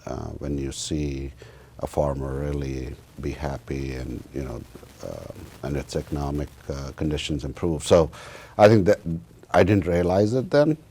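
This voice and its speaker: A man in his fifties with an Indian accent, giving reflective interview answers in a halting way with many pauses. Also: very deep voice, dark tone, husky. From northern India. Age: 50s. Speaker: interview guest, university research executive and computer scientist.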